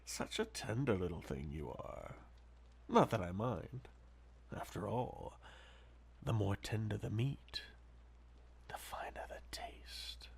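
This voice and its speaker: Casting: male actor as possessive, sadistic vampire for ASMR audio drama; silky, taunting, slow delivery with dramatic swings in pitch. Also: sing-song, full character voice. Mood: mocking, condescending. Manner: theatrical, silky, mocking drawl with breathy whispers and endearments. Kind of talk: ASMR audio roleplay, intimate dramatic monologue.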